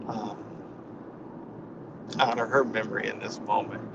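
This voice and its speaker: A man giving an informal inspirational talk in a reflective, halting way, often repeating his phrases. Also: on the low side, flat delivery, creaky, muffled recording, noisy background. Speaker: spiritual session leader.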